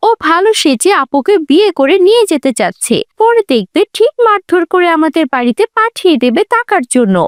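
Woman playing a very shrill, haughty rich girl. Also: sing-song, fairly quick, hardly any pauses, no echo, studio quality. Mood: pleading protest. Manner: haughty, scolding, insistent. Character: arrogant, spoiled young rich sister, scornful and demanding. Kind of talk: melodramatic cartoon story dubbing.

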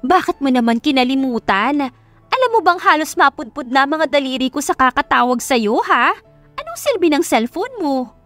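A greedy, middle-aged mother, acted out by a woman narrator in an audio romance drama. Her voice is shrill and pitched high, swinging widely as she demands and scolds with open contempt. Music plays underneath. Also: big pitch swings, moderate pace, fairly bright tone, dry recording. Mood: exasperated nagging.

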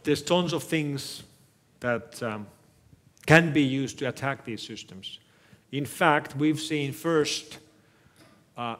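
A middle-aged man whose native language is Finnish, giving a measured, thoughtful keynote talk on stage in a lecture hall, speaking into a headset mic. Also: mid-range voice, fairly bright tone. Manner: measured, thoughtful, frequent restarts. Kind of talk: conference keynote with audience Q&A.

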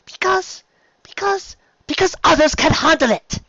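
A man's voice: very high voice, animated, normal speed, fairly bright tone, slightly rough, no echo, dull audio, voice pushed up, heavily acted. A man voicing a prissy, overprotective female unicorn in a storybook reading, melodramatic and easily distressed. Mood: aghast. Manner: melodramatic, stammering when upset.

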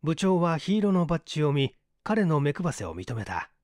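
A man narrating a story for an audiobook. His voice sits a little high and moves widely in pitch. The sound is dry, with hardly any room. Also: big pitch swings, normal speed, darkish tone, no room sound. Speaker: audiobook narrator, stage actor. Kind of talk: scripted literary narration.

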